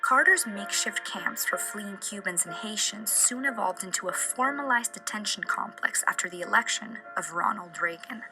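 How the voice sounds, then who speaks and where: A woman's voice: mid-range voice, animated, normal speed, few pauses, over music, rough recording. A young woman reading an earnest scripted narration for a student documentary.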